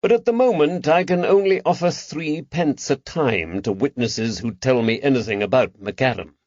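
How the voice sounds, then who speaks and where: A man playing a patient, careful detective in an audiobook mystery, measured and gently firm as he questions. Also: medium pitch, no echo, highs cut off, pitched above the performer's own voice, close to an everyday voice. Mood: cautious, coaxing.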